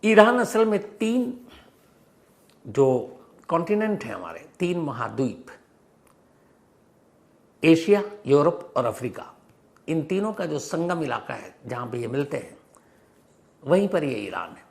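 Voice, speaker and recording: A man's voice: fairly high pitch, big pitch swings, measured pace, gravelly, pauses often. A man presenting an explanatory news monologue in an emphatic storytelling manner, often posing questions and rephrasing points for clarity. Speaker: host of a news explainer show.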